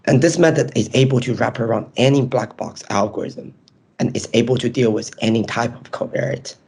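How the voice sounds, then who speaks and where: A man with a hoarse, nearly lost voice, presenting his research in a webinar and explaining step by step over a video call. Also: medium pitch, steady tone, brisk, darkish tone. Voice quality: raspy, strained. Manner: explains step by step with frequent ums.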